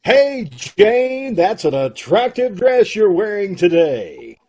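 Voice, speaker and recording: A showboating radio pitchman, played by a man, who slips into commercial-speak at every chance. His voice rides high and swoops widely, with a slightly husky edge. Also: very animated, slow pace, dark tone, heavily acted. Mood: salesy. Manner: announcer-style pitch, showy delivery. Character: smooth-talking male radio announcer neighbor. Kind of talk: 1930s radio comedy acting. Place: home over a video call.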